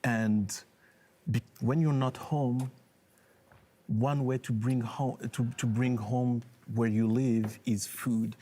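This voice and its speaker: A man from France, a debate panelist, speaking thoughtfully with halting restarts. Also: medium pitch, steady tone, mellow. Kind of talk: debate argument.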